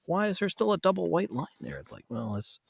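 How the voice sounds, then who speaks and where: A man's voice: high voice, wildly swooping pitch, brisk, creaky, breathy edge, dry recording. Man telling anecdotes in casual chat, dry sound. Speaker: podcast guest, voice actor.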